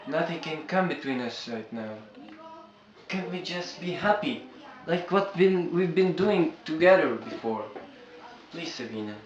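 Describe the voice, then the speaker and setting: A man's voice: on the high side, animated, measured pace. An actor playing a man devoted to his lover, with an earnest, imploring delivery. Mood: pleading. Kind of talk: melodramatic stage acting. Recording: dull, noisy, echoey recording.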